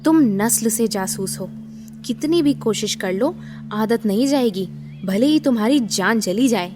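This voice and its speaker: A woman's voice: a little high, animated, a little slow, over music. A woman playing a guarded, bitter young woman with a terse, defiant manner in an audio drama. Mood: cold, reproachful. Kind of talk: Hindi audio drama acting.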